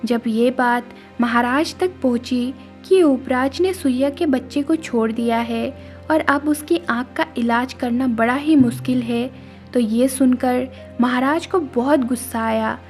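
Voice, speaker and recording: A woman's voice: high voice, measured pace, faint music behind. A woman narrating a scripted moral story as the storyteller.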